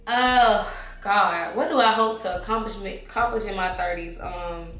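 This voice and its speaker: A woman's voice: medium pitch, moderate pace, pushed and bright, echoey room. A woman in her thirties answering viewer questions in a casual vlog, candid and slangy, calling listeners honey and baby. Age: 30s.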